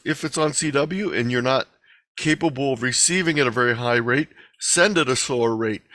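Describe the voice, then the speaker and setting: A man's voice: medium pitch, a bit bright, no echo. Man giving a dry-sounding instructional webinar talk. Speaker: presenter. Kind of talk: instructional webinar lecture with slides. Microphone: video call microphone.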